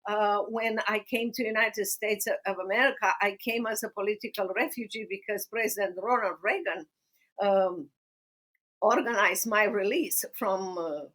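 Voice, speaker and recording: A woman with a Romanian accent, hosting a podcast interview in an earnest, deliberate manner. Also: mid-range voice, fairly bright tone. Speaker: podcast host. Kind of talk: podcast interview and personal storytelling.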